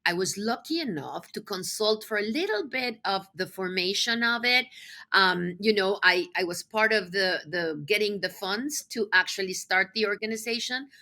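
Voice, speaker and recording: A woman from Mexico City whose first language is Spanish talks as an interview guest. Her voice is bright and forceful at a medium pitch, and her delivery is conversational, emphatic and enthusiastic. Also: animated, normal speed. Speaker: podcast guest, nonprofit executive.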